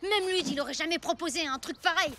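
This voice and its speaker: Very high, spirited young boy. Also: quick pace, a little hiss or hum, exaggerated voice. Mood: indignant. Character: spirited young boy, loyal, outspoken, easily indignant. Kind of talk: animated cartoon dub acting. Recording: loud music behind the dialogue.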